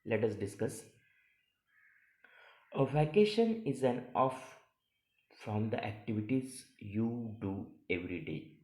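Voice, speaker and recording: A man teaching a lesson, reading practice paragraphs aloud in a deliberate, stumbling way and repeating phrases. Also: fairly low pitch, expressive, darkish tone. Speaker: college teacher. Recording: echoey room sound.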